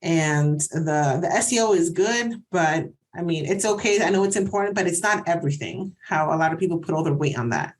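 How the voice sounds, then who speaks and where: A woman doctor from New Jersey talks in a relaxed, slightly low voice. Spanish is her first language, and she tells stories with lots of restarts and asides. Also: brisk, hardly any pauses. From New Jersey, USA. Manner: chatty, anecdotal, frequent restarts. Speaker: physician, guest. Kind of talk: casual interview conversation.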